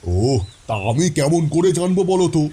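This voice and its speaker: A man playing a wealthy older father who is gruff, offhand and dismissive in an audio drama. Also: a little high, very animated, unhurried, warm tone, heavily acted. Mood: brusque.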